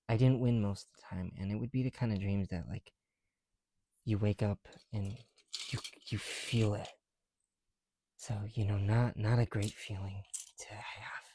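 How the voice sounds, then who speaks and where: A soft-spoken man chatting casually in an ASMR style, often dropping into whispers, with a creaky voice and a rambling, halting delivery, close to the mic. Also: lowish voice. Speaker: ASMR video creator. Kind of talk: casual ASMR chat while building bricks.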